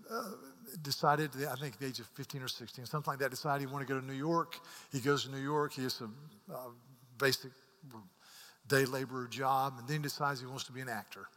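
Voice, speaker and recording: A man preaching a sermon as a pastor, earnest, repeating words as he rises to emphatic peaks and drops to hushed asides. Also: medium pitch. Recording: long hall reverb.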